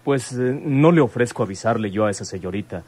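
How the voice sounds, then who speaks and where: An actor playing a deferential, loyal young deputy with a Mexican accent and a husky voice in a radio drama. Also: male voice, on the low side, animated, a little fast, a little mellow. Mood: respectful, helpful.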